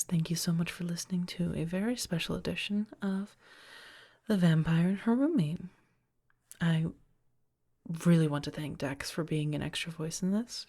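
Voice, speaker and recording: A woman audio creator speaks informally to her listeners in an outro. Her voice sits fairly low, with heavy creak and a husky rasp. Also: a little mellow.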